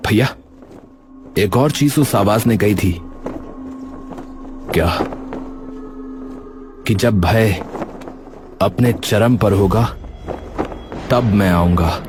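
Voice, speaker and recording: An actor playing an earnest young hero in a dramatized story, speaking with urgency and drama. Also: male voice, lowish voice, drawn-out words, pauses often, background noise. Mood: serious. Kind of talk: acted Hindi audio story dialogue.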